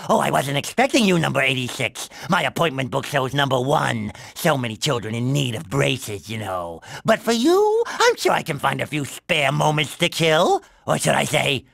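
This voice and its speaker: Creaky, gloating cartoon villain dentist. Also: male voice, medium pitch, big pitch swings, measured pace, hardly any pauses, pushed and bright, dry recording, heavily acted. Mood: gloating menace. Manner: theatrical, menacing. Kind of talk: cartoon video game acting.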